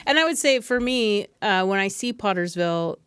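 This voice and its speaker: A woman's voice: medium pitch, expressive, normal speed, fairly bright tone, clean tone, no echo. A woman hosting a podcast, talking about films in a conversational, emphatic way. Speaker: podcast host. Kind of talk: film discussion and recommendations.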